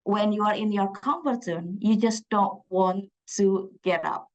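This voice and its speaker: A woman's voice: mid-range voice, measured pace, dull audio. A young woman from Indonesia with an Indonesian accent, a PhD student presenting at a conference, speaking with frequent fillers and restarts. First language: Javanese. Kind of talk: conference talk. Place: conference room.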